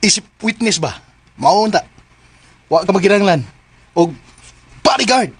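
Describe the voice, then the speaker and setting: A man's voice: high-pitched, animated, normal speed, breathy edge, dry recording. A man playing a flamboyant, possessive, scheming bodyguard in a Filipino radio drama, exclaiming in a theatrical sing-song. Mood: sulky, hurt.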